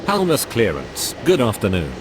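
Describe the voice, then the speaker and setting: A man with a slightly low voice and a lively, moving pitch gives scripted aviation calls. The phrasing is formulaic and clipped. Loud rushing noise surrounds the voice. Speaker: air traffic radio voice in a game.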